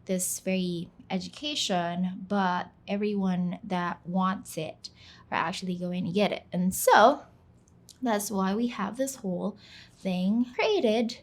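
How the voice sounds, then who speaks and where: A woman's voice: medium pitch, takes it slowly. A young woman from the Philippines, whose first language is Filipino, talking casually in a voice-over for her channel, chatty and self-deprecating, with plenty of "like.". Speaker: YouTuber, channel host. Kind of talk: casual talk to camera. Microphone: voice-over, not on camera.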